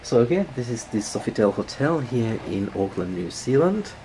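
A man giving a casual, unscripted hotel room walk-through as a travel blogger, halting and repeating words, recorded on a handheld camera. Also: medium pitch, big pitch swings, warm tone, slight background noise, poor audio. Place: indoors, moving around a hotel room. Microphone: handheld camera, operator behind it.

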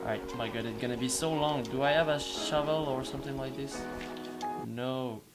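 A young man who is not a native English speaker, excitedly commentating his own gameplay from a headset in a small room, stumbling and exclaiming often. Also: medium pitch, normal speed, music in the background. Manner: excitable, hesitant, frequent exclamations. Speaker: gaming channel host.

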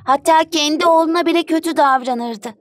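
Lady doing a gentle little mermaid queen for a kids cartoon, high pitched, earnest and wise, music behind. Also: a little fast, lightly acted. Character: wise, gentle young mermaid queen telling stories to children. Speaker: storyteller. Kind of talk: animated children's cartoon dubbing. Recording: music under the voice.

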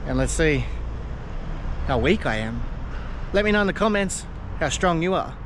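A man's voice: a little high, expressive, normal speed, noisy background. A man, casually narrating his gym workout in a self-deprecating, upbeat way on an action camera. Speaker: vlogger. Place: gym, indoors, and outdoors by a car. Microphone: handheld action camera. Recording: music playing behind. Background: background music.

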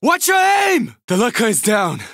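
High, forceful young zombie-game survivor. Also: male voice, huge pitch swings, unhurried, no room sound, studio quality, heavily acted. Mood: urgent, alarmed. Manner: terse, urgent, forceful callouts. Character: urgent young male survivor fighting zombie hordes. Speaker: video game voice actor. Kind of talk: video game combat barks.

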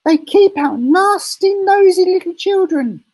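A man voicing a nasty, spiteful woman gardener from a storybook in a shrill, emphatic way. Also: animated, unhurried, a little mellow, heavily acted. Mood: spiteful glee.

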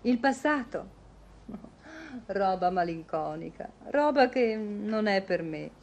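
A poised, independent young lady in vintage radio comedy. Her voice sits at a medium pitch, with polite, brisk and frank delivery. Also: lively intonation, a little mellow. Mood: frank, playful.

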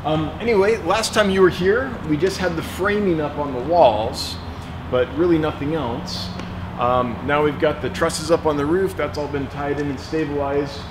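A man's voice: a little high, normal speed. A man in his forties, a fish store owner, chatting casually as he walks through his unfinished building. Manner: chatty, relaxed, explaining as he walks. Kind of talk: casual walkthrough vlog. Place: outdoors and inside an unfinished open building. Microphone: wireless mic on a handheld camera shoot. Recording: noticeable outdoor background noise.

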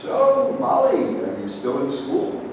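A man's voice: medium pitch, huge pitch swings, slow pace. An older man playing a kindly, regretful working-class father in a staged reading of a play. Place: large bare indoor room. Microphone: far camera. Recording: echoey hall, distant camera microphone, noisy.

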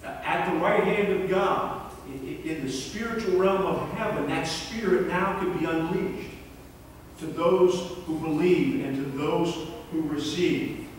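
A middle-aged male pastor delivers an earnest, measured sermon at a slow, deliberate pace. His voice sits a little high for a man. It is caught from far back in a large sanctuary, with a long, ringing reverb. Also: slight background noise. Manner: earnest, measured preaching with repeated words. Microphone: far camera in the pews.

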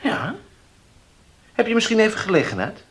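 Halting young gentleman. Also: sits high, big pitch swings, husky, full character voice. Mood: hesitant. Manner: hesitant, halting. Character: hesitant young gentleman, Frits. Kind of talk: 1970s radio play acting.

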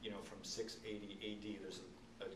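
A middle-aged man speaking as an author at a public talk, explaining and telling stories in an earnest, emphatic way with halting pauses. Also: on the low side, level tone. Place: indoor event room, seated on stage. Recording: roomy, distant camcorder sound, faint noise.

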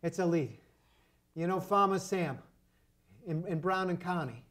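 A man's voice: sits high, a little slow, a bit bright. Guy doing a broke american detective in an old radio play, sheepish, pleading, devoted. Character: desperate hard-up male detective, sheepish and devoted. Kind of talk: improvised old-time radio play acting.

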